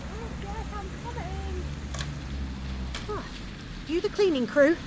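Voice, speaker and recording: A woman's voice: extremely high pitch, expressive, takes it slowly, noisy background, heavily acted. An actress playing a gossipy, suspicious, chatty female building caretaker in an audio drama. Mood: bustling, nosy. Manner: chatty, busybody. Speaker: actor in an audio play. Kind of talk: naturalistic audio drama dialogue.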